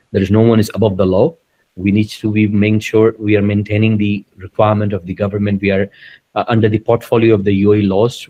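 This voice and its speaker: Low-voiced man, earnest and promotional. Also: dark tone. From Dubai, UAE. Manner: earnest, repetitive, promotional, with many restarts. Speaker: business founder, interview guest. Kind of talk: conversational interview.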